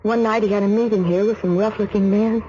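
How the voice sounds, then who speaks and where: An actress playing an anxious, grieving daughter in a 1940s radio drama, speaking in a hesitant, pleading way. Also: female voice, medium pitch, steady tone, fast, close to an everyday voice. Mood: troubled, sorrowful. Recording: muffled, noisy 1940s radio recording.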